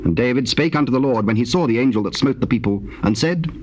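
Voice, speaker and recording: A man narrating dramatized scripture in a measured, formal manner. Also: mid-range voice, expressive. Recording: faintly noisy old cassette tape.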